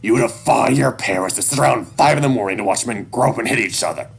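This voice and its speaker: A man's voice: low-pitched, moderate pace, gravelly. A man voicing a stern, scornful animal father in an audio story, harsh, commanding and accusatory. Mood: disapproving, accusing.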